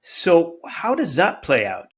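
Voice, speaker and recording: A man's voice: fairly high pitch, huge pitch swings, slow, breathy edge. Interview host, male, Arabic native speaker; earnest, thinks aloud, restarts sentences. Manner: earnest, searching, frequent restarts. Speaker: podcast host. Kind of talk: conversational interview.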